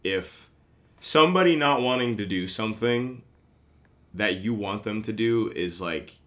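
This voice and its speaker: A man's voice: fairly low pitch, lively intonation, measured pace, fairly bright tone. A man in his late twenties, a podcast guest, talking in a hesitant, rambling way full of restarts and filler words. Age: late 20s. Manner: hesitant, lots of "like" and "you know", restarts. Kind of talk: casual chat.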